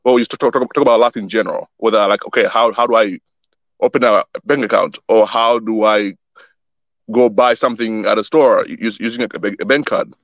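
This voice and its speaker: Young male guest, relaxed and halting, dry close mic with a slightly muffled sound. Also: lowish voice, no echo. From born in Wisconsin, grew up in Tanzania. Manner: relaxed, repeats words often while he thinks. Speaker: guest, youth soccer organization founder. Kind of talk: casual interview chat.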